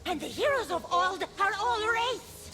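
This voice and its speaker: A woman's voice: very high-pitched, expressive, unhurried, music in the background. A woman playing a childish, insecure goddess in a video game, with a hesitant, plaintive delivery. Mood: zealous. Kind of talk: animated video game acting.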